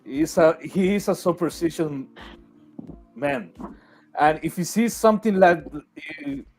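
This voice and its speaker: Slightly high-voiced man telling a story. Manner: loose, meandering storytelling. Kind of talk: casual boxing talk.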